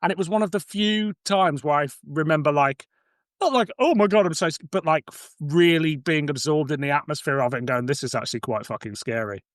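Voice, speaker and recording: Musing man with a slightly high voice. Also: a little fast, dry recording, clean studio sound. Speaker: podcast speaker. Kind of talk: casual chat.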